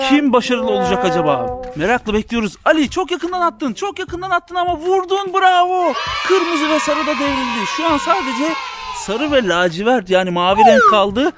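A young man filming outdoors in a courtyard, narrating a children's bowling game with animated, sportscaster-style commentary. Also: very high-pitched, moderate pace. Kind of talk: playful play-by-play for a children's channel. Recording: music playing loudly under the voice. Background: loud background music.

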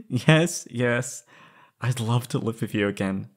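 A young man playing an anguished, lovesick former captive in an intimate roleplay audio, halting and pleading. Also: mid-range voice. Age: young adult.

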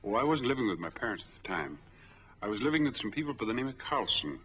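An American actor in his forties playing a smooth, easygoing drifter in a 1940s film drama. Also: medium pitch, big pitch swings, fast talker, lightly acted. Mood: earnest, measured. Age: 40s. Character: smooth, easygoing drifter applying for bank money. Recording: dull old 1940s film soundtrack.

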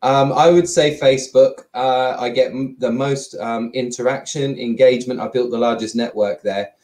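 A man in his forties joins a video call from his living room as a guest. He answers easily and at length, rambling a little and laughing often, at an ordinary middle pitch. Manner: relaxed, rambling, quick to laugh. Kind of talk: casual interview answers.

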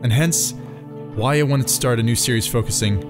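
A man's voice: medium pitch, fairly quick. A man narrating a scripted video essay as its host, in a conversational, reflective way. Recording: music under the voice. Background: background music.

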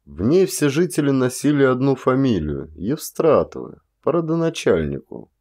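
A man reading a literary audiobook as an actor, with measured, dramatic phrasing and deliberate pauses. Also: mid-range voice, very animated, a little mellow. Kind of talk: expressive literary audiobook narration.

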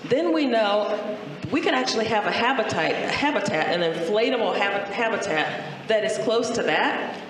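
A middle-aged American woman gives a public talk, explaining things with emphatic repetition. Her pitch is average for a woman. She speaks into a handheld mic in a large, very reverberant hall. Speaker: space agency executive, guest speaker. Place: large auditorium stage. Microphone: handheld microphone. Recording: very echoey hall, faint noise.

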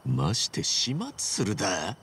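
An actor playing a hot-blooded, blunt yakuza hero in a video game, with rough, casual, emphatic speech. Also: male voice, deep voice, huge pitch swings, takes it slowly, fairly bright tone, a little hiss or hum, exaggerated voice. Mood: indignant disbelief. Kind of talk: Japanese video game cutscene acting.

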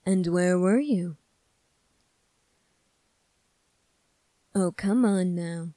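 A woman playing a smug, scornful male demon prince in an ASMR roleplay, speaking in a condescending, deliberate way. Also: on the low side, warm tone, no echo. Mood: accusing.

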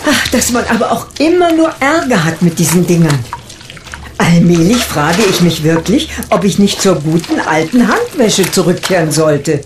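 An actress playing a brisk, commanding middle-aged aunt in a radio play. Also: female voice, fairly low pitch, very animated, noisy background. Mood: bossy. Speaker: aunt Mathilda.

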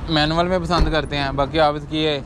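A man with a Pakistani Urdu accent walks an outdoor used car market, listing prices and features in brisk salesman patter. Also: fairly high pitch, steady tone. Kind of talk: walk-around car market vlog. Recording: noisy outdoor street recording.

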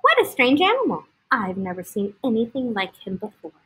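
Woman voicing a nosy, doubting storybook animal, high. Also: huge pitch swings, a little fast, a bit bright, husky, full character voice, poor audio. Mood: suspicious. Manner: blunt, questioning.

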